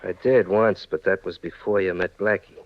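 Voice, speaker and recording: Menacing, gravelly 1940s radio crook. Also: male voice, low-pitched, fairly even intonation, normal speed, no room sound. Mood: suspicious, sarcastic. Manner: slangy tough talk, mock-tender threats. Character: menacing, cold-blooded male crook turning on his partner. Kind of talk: 1940s radio crime drama acting. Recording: dry, dull 1940s radio recording.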